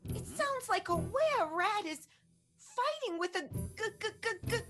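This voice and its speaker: A woman's voice: very high-pitched, sing-song, unhurried, a bit bright, soft background music, heavily acted. A woman playing a naive, fretful werewolf's pupil in an improvised radio comedy, wide-eyed and hesitant. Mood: anxious wonder. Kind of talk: improvised radio play acting.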